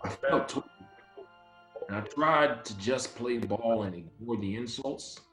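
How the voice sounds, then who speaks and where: A man's voice: medium pitch, unhurried, fairly bright tone, soft background music, lightly acted. A man playing an earnest, polite, inwardly torn baseball rookie in a dramatic script reading. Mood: anguished. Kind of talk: dramatic script reading with first-person narration.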